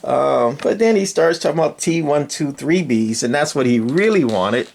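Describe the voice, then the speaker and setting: An older man with a gravelly voice, giving a casual, rambling hobbyist walkthrough with folksy, dryly sarcastic asides. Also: a little high, animated, moderate pace, a little mellow. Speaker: radio hobbyist presenter.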